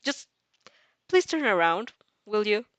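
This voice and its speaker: A woman acts out an exasperated high school girl from a storybook. She is polite but stubborn, blunt and annoyed, with animated swings of pitch. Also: takes it slowly, no room sound.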